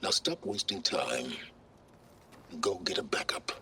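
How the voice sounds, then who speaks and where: An actor playing an arrogant, secretive older male researcher in a video game, speaking in a measured, pompous, sardonic and condescending way. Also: low voice, lively intonation, bright, forceful tone, a little hiss or hum, full character voice. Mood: impatient. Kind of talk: video game cutscene acting.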